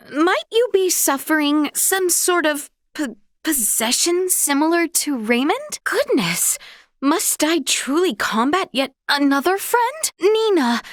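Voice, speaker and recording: A woman's voice: sits high, dry recording, studio quality, heavily acted. A woman voicing an earnest, courteous young noblewoman warrior in a video game, with formal, old-fashioned, genteel phrasing. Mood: gently concerned. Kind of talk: scripted video game character voice lines.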